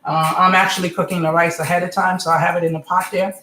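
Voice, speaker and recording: A woman's voice: on the low side, little pitch movement, fast talker, sharp tone, slight background noise. Chatty woman from Senegal, echoey kitchen. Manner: chatty, rambling, frequent ums and "okay?". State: slightly hoarse voice. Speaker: home cook, channel host. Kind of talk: casual live cooking stream. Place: home kitchen. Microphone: computer or phone camera mic.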